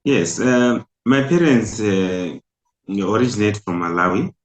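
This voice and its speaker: Slow, low-voiced imam with roomy echo. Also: male voice. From Zimbabwe. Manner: hesitant, many filler pauses. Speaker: imam and national community president. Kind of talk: interview answers.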